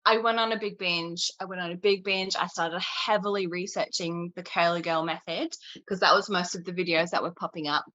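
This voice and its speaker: A young woman with a Queensland Australian accent, chatting as an interview guest and telling stories with animated energy. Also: on the low side, brisk, hardly any pauses, lo-fi sound. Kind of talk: casual chat.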